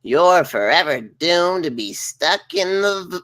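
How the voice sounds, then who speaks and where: A woman's voice: on the low side, animated, takes it slowly, fairly bright tone. A woman playing a spiteful, gloating male villain who becomes a bug mutant, taunting theatrically in a comedy table read.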